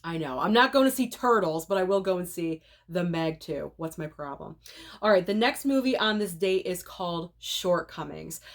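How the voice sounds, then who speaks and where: A woman's voice: lowish voice, expressive, moderate pace. Nasal-sounding woman with a cold. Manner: chatty, opinionated, direct to viewers. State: sick, nasal voice. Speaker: movie channel host. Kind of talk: casual movie rundown vlog.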